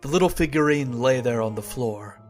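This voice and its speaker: A man playing a grave, haunted nephew who tells his horror story in measured old-time radio style. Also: mid-range voice, animated, soft background music, natural voice. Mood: somber. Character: somber male narrator of a horror tale, haunted nephew.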